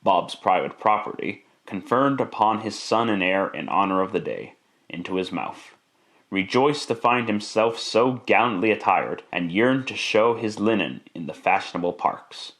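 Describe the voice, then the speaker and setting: A man's voice: on the low side, expressive, normal speed, a bit bright. A man narrating a classic radio drama in a theatrical, emphatic storytelling style.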